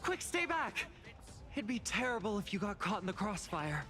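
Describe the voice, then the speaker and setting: A man's voice: sits high, big pitch swings, normal speed, bright, forceful tone, faint music behind, heavily acted. A man voicing a cocky, protective young fighter in a game, brash and teasing. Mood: urgent.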